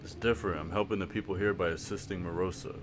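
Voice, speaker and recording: An actor playing a dry, laconic adventurer mercenary in a fantasy game, terse and unimpressed. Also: male voice, sits low, fairly even intonation, fast talker, background noise, lightly acted. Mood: chiding. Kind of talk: fantasy video game dialogue. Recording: music behind the voice.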